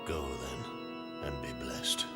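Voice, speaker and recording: Slow, solemn soldier. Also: male voice, rumbling low, steady tone. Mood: solemn, urgent. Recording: film soundtrack with loud organ music.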